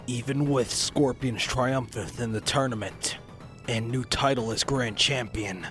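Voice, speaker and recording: A man's voice: mid-range voice, fairly bright tone. A man reading a dramatic scripted narration.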